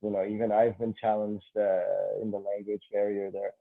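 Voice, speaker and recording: A man's voice: on the low side, monotone, normal speed, creaky, narrow, tinny audio. A man from Canada hosting an online interview, summing up the guest's points and framing questions, with frequent restarts. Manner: summarizing and framing questions, repeated starts. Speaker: host.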